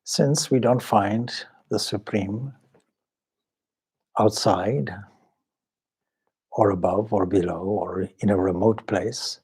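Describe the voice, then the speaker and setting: A man leading a guided meditation as a swami, speaking solemnly and measuredly in short phrases with long pauses. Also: fairly low pitch, darkish tone, husky. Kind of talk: spiritual talk and guided meditation.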